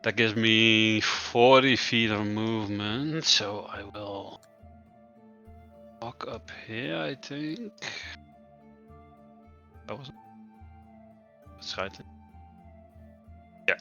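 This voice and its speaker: Male tabletop player, slow and bright, teasing and laid-back. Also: on the low side, highs cut off, soft background music. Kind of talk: casual tabletop game chat.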